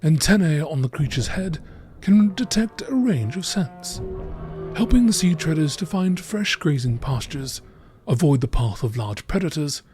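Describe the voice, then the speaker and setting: A man reading scripted nature documentary narration aloud in a measured way. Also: fairly high pitch, darkish tone, slight background noise.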